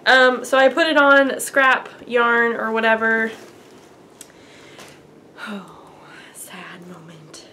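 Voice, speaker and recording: A woman's voice: a little high, steady tone, slow, pauses often, bright, forceful tone, slight background noise. A young woman host with a stuffy, allergy-congested voice, chatting casually and upbeat about her crafting week. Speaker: podcast host. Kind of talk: casual crafting chat to camera.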